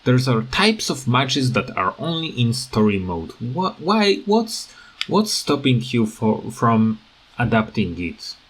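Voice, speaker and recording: A man records loose, off-the-cuff game commentary at a markedly slow pace. His voice sits at an average male pitch. He speaks haltingly, often searching for the next word as he rambles on. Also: very animated, fairly bright tone. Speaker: gaming video creator.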